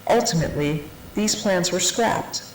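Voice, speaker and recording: A narrator playing a research division instructor who delivers a classified briefing in a formal, deliberate, matter-of-fact way, as in an analog horror training tape. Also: mid-range voice, lightly acted. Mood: formal, detached. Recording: roomy, noisy 1990s VHS-style tape sound.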